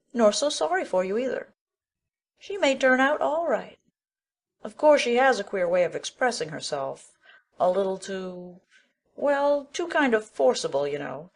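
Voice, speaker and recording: A woman's voice: fairly high pitch, animated, moderate pace. A woman playing an outspoken, kindly but bossy older village woman who talks in blunt, folksy country speech in an audiobook drama. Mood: chatty, frank. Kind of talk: full-cast audiobook acting.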